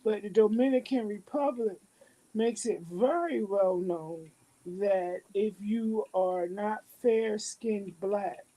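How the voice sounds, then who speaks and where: A woman in a casual discussion, asking a long, deliberate question. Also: mid-range voice, dark tone, rough recording.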